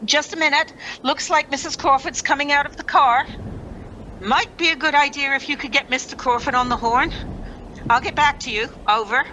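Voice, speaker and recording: Radio play rescuer, played by a woman: high, forward, bright voice, brisk and folksy on the radio. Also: steady tone, muffled recording, slight background noise. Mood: curious, puzzled. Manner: businesslike yet folksy. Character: brisk, chatty female rescue officer reporting by radio.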